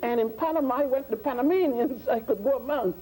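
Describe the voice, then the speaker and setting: A man's voice: extremely high pitch, fast, mellow, husky, poor audio. A man in his sixties from Puerto Rico and the Virgin Islands, a guest historian answering interview questions in a lecturing way, piling up facts with many fillers and restarts.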